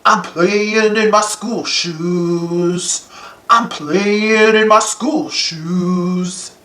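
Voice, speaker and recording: Very slow, carefree storybook cat sung by a woman. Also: fairly low pitch, a bit bright, pitched below the performer's own voice. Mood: carefree, upbeat. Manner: repetitive sing-song chanting, slow and carefree. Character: cheerful, easygoing young male cartoon cat. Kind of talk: children's storybook character singing. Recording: echoey, distant, with faint music.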